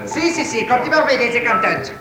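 An actor playing a brisk, evasive nightclub boss in a radio drama. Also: male voice, very high-pitched, animated, reverberant, over music. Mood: brisk, impatient.

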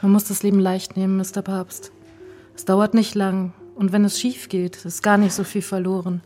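An actress playing a self-assured, provocative film star in a German radio drama, teasing and cool, sometimes near a whisper. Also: female voice, mid-range voice, flat delivery, normal speed, mellow, soft background music, natural voice. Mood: worldly, defiant.

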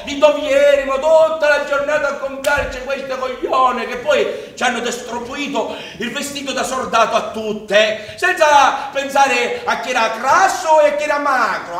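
An actor playing a young Sicilian peasant conscript, telling his life in an animated, declamatory stage monologue with a Sicilian accent. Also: extremely high pitch, distant mic. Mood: indignant. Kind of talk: theatrical dialect monologue. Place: theatre stage. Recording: echoey theatre from afar, music underneath. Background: music.

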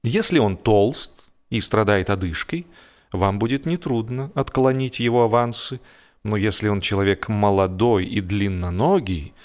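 A man narrating comic literary prose as an audiobook, theatrical and expressive, with deliberate pauses and repetitions. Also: on the low side. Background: music.

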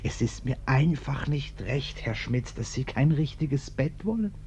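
An actor playing a polite, hesitant, respectable householder in a German radio play, courteous and awkward. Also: male voice, mid-range voice, expressive, unhurried, a little mellow, lightly acted. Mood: hushed, hesitant. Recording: muffled, noisy old radio recording.

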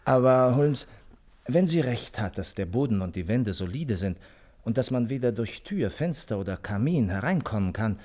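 A man's voice: medium pitch, expressive, moderate pace, darkish tone, close to an everyday voice. A man acting a detective's puzzled, good-natured companion in a radio play, colloquial and questioning. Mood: musing.

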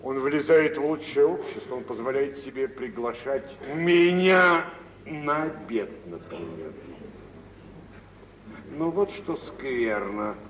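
An actor playing a self-important, wealthy older gentleman, pompous and deliberate, in an old theatrical radio drama. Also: mid-range voice, animated. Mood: disapproving, pointed. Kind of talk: 1940s theatrical radio acting. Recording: dull, noisy vintage radio play recording.

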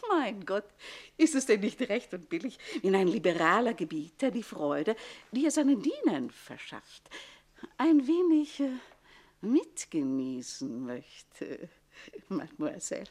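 An actress playing a jealous, scheming middle-aged governess in a radio comedy, with mock-polite, biting, emphatic speech. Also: female voice, medium pitch, big pitch swings, measured pace, a little mellow. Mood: venomously polite. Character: scheming, sharp-tongued jealous woman, a governess in a castle. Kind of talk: theatrical German radio comedy acting.

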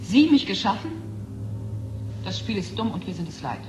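A woman's voice: medium pitch, sing-song, moderate pace, over music, natural voice. An actress playing an artificially created young woman, cold, curt and defiant, in a science fiction radio drama. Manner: curt, imperious. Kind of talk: 1970 German radio drama acting.

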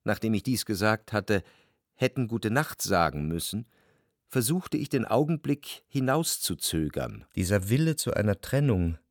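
An actor playing a brooding, introspective narrator, reading in measured, reflective for a literary radio drama. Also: male voice, lowish voice, big pitch swings, no echo, clean studio sound. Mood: reflective, melancholy. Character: brooding, introspective male narrator recalling a troubled love. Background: music.

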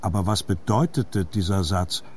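An actor playing a reflective adult narrator remembering his boyhood, reading literary narration in a measured, husky voice. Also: low-pitched, a little mellow, a little hiss or hum, close to an everyday voice. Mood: melancholy.

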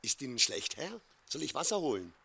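An actor playing an old, deferential coachman in a German radio drama, with a gravelly, husky voice. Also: mid-range voice, sing-song, a little fast, sharp tone, heavily acted. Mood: concerned.

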